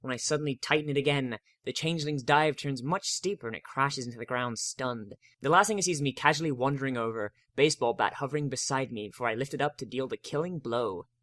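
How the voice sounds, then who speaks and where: Male narrator, wry, expressive, dramatic first-person fiction reading, close dry recording. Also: mid-range voice, fast, few pauses, no room sound.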